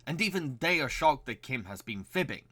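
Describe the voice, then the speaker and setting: A man's voice: mid-range voice, sing-song, brisk, sharp tone. A young man giving enthusiastic scripted review narration with casual asides, as a video host.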